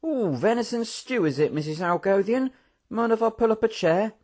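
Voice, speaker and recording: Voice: mid-range voice, expressive, moderate pace, a little mellow, voice pushed up, natural voice. A reader doing a jaunty boastful escapee. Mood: easygoing. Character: cocky escape-artist man, jaunty and boastful. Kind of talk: audiobook character voice.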